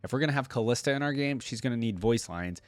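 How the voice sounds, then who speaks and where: A man's voice: medium pitch, lively intonation, quick pace, no room sound. A man giving casual reaction commentary as a host, thinking aloud in an informal way.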